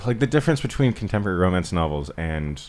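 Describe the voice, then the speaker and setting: A man's voice: sits low, very animated, fast, a little mellow. A man co-hosting a podcast, chatting casually about books with dry, playful humor. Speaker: podcast co-host. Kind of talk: casual book chat between friends.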